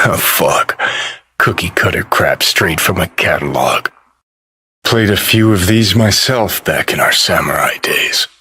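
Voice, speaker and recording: An American man playing a cynical, foul-mouthed, world-weary rebel rock star in a video game, with a gravelly, husky voice and a clipped, sardonic, swaggering drawl. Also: sits low, lively intonation, a little slow, pushed and bright, heavily acted. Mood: sardonic, mocking. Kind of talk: video game character dialogue.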